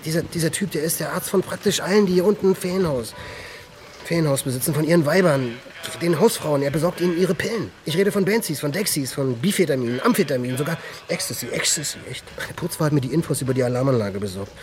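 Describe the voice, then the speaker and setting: A man's voice: a little high, quick pace, slight background noise, close to an everyday voice. An actor playing a jittery, boastful young junkie thief in a crime radio drama, stammering and compulsively repeating his phrases. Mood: agitated, eager.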